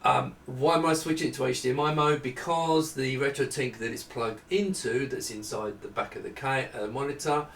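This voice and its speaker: A man's voice: mid-range voice, steady tone, moderate pace, hardly any pauses, a bit bright, a little hiss or hum. Roomy, distant man, chatty demonstrator. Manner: chatty, self-deprecating, rambling. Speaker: presenter demonstrating retro computer hardware. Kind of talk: casual off-the-cuff product demonstration. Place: small indoor room at a desk. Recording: roomy, distant camera microphone.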